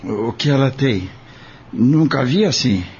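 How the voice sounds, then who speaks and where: A Brazilian man playing a stubborn, anxious father, expressive and earnest, in an audio drama. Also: medium pitch, slow, husky, muffled recording, heavily acted. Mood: worried. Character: stubborn, anxious young father and farm worker. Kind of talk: audio drama acting in Portuguese.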